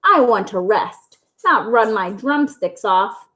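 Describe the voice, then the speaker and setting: A woman's voice: mid-range voice, animated, slow pace, a bit bright. A woman voicing indignant, complaining auntie hens who squawk and cluck in a children's storybook. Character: grumbling, indignant old auntie hens on holiday. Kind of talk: children's storybook read-aloud.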